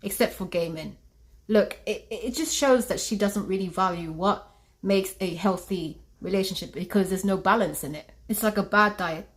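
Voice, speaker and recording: A woman's voice: medium pitch, moderate pace. A woman hosting and delivering commentary to her audience. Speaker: host. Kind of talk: video commentary.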